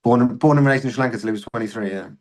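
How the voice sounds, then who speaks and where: A man's voice: mid-range voice, racing, darkish tone. A young man, a podcast guest and serving soldier, telling candid stories in a casual chat and swearing freely.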